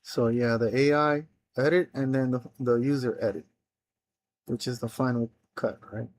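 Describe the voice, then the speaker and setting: A man whose first language is Spanish talks through an app plan on a live coding stream. His delivery is halting, full of restarts and unfinished phrases. He sits at a mid pitch for a man, and the close, dry sound has almost no room in it. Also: steady tone, dry recording. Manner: halting, reads plans aloud with many restarts.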